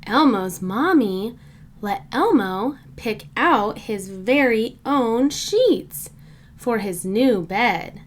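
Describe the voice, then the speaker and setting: A woman reading a children's storybook aloud in simple, deliberate phrases meant for young listeners. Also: on the high side, lively intonation. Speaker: children's book reader.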